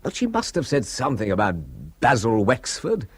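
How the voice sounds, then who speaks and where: An actor playing an anxious, politely persistent young British brother in a radio drama, hesitant and wry, with a husky voice. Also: male voice, mid-range voice, very animated, normal speed, close to an everyday voice. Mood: worried, pressing. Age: young adult.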